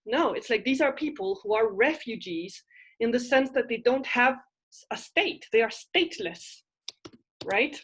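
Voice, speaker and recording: Husky woman teaching over a video call. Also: on the high side, measured pace. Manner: animated, gestures while explaining, asks "right?" often. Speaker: university literature teacher. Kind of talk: online class discussion. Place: home room with bookshelves. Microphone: headset on video call.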